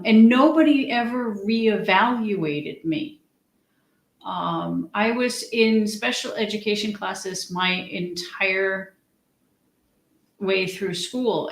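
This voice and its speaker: A woman's voice: medium pitch, a bit bright. Hesitant woman in an echoey room. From United States. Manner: hesitant, frequent restarts and ums. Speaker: guest. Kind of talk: conversational interview.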